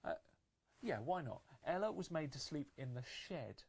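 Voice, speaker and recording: A woman with an unusually deep, creaky voice narrates a fairytale for children. She is agreeable and a little halting, making the story up as she goes. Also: sing-song, darkish tone.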